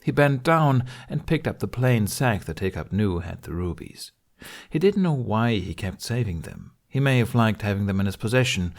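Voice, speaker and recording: A man's voice: lowish voice, a little mellow. A man reading scripted story narration as an audiobook narrator.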